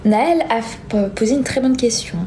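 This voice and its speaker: A young woman with a soft-edged, mellow voice that sits a little high. She chats informally as a live-stream host, reading comments and answering them with hesitant, trailing phrases. There is faint noise behind her. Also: moderate pace. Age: young adult. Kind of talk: casual chat, reading and answering viewer comments.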